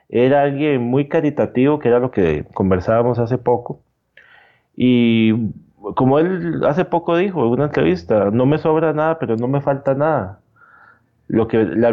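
A man talking conversationally as a guest, reflective and halting, often checking for agreement as he tells stories. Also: medium pitch, phone-quality sound. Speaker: guest commentator. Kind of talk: conversational sports storytelling.

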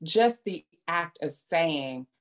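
Thoughtful woman host, lower voice. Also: lively intonation, slow. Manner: thoughtful, reflective, builds long points. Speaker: podcast co-host. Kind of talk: interview conversation.

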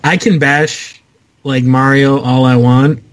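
A man with a medium-pitched voice talks casually among a podcast panel. His delivery is conversational and joking. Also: level tone, slow pace, low-quality recording. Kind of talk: casual group chat.

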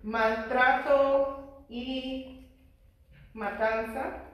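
Slow-speaking woman preacher in an echoey hall. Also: sits high, fairly even intonation. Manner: slow, prayerful, sprinkles amens and hallelujahs. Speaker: preacher teaching a Bible study. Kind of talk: church Bible study sermon with prayer. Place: church hall. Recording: very echoey room, voice far from mic.

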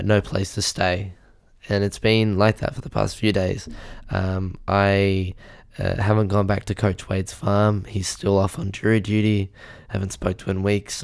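A young man with a hoarse voice hosting a podcast, delivering a rambling, deadpan, self-pitying comedic monologue into a mic with a pop filter. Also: deep voice, no echo. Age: young adult. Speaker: podcast host.

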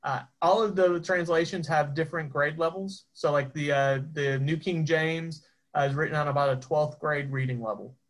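A man with a fairly high voice teaches a Bible class. He talks loosely and conversationally, tossing rhetorical questions to the group. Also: moderate pace. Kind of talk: informal teaching.

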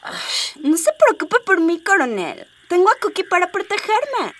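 A very high, swooping Mexican-accented voice for a spoiled young alien princess, played by a woman. She is coquettish and bossy, in comedic radio drama style. The voice sits away from the mic, over music. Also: wildly swooping pitch, measured pace, a bit bright, full character voice. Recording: distant miking, music underneath.

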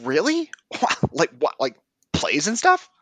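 Sketch role: a man doing a rambling, tactless coworker with a lively, bouncing pitch, dry close sound. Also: slow, dry recording, heavily acted. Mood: eager. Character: clueless chatty male office coworker. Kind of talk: comedy sketch.

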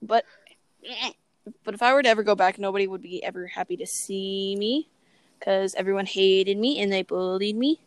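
A woman's voice: medium pitch, moderate pace, no room sound. A young woman co-hosting a podcast, telling personal stories in a playful, self-mocking, exclamatory way. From Oklahoma, United States. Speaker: podcast co-host. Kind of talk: casual chat, personal storytelling.

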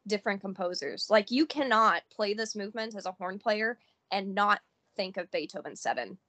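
A woman's voice: medium pitch, moderate pace, bright, forceful tone. Woman horn player discussing symphonies. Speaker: podcast co-host, horn player. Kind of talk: casual music analysis chat.

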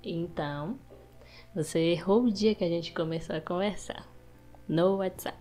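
A woman's voice: fairly low pitch, measured pace, mellow, soft background music. A woman guesting on her boyfriend's podcast, playfully accusing him and laughing. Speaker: guest, host's girlfriend. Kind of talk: casual couple chat.

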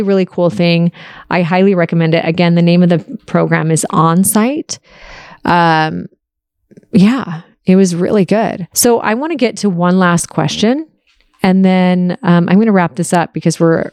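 A woman's voice: on the low side, moderate pace, a little mellow, dry recording. A woman in her early forties talking candidly and casually to her listeners, in an open, confessional and reflective way. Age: early 40s. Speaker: podcast host answering listener questions.

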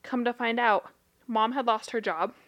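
A woman's voice: fairly high pitch, fairly even intonation, normal speed, a bit bright. A woman who is a licensed therapist and a podcast co-host, talking in a blunt, casual way with swearing and frequent laughter. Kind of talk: casual chat.

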